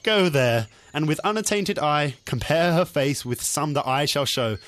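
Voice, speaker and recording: An earnest young gentleman, acted by a man, with a lively, swinging delivery. He coaxes and persuades in declaimed Shakespearean verse, as in an amateur radio play. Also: fairly high pitch, moderate pace. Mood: persuasive. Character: Benvolio, concerned, coaxing young nobleman and cousin. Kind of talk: student audio drama of Shakespeare.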